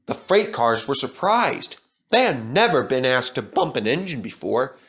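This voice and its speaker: A man's voice: mid-range voice, big pitch swings, normal speed, fairly bright tone, slightly rough. A man narrating a children's storybook as a scripted read-aloud.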